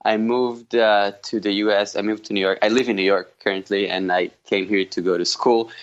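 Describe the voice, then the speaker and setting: A man in his thirties with an Israeli accent, chatting as an interview guest in a loose, halting way. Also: lowish voice, steady tone, lo-fi sound. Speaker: podcast guest, jazz guitarist. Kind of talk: casual conversational interview.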